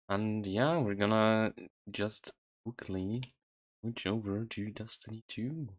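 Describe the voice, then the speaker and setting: A man's voice: fairly low pitch, fairly bright tone. A man livestreaming casually, thinking aloud in a hesitant, loose way. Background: background music.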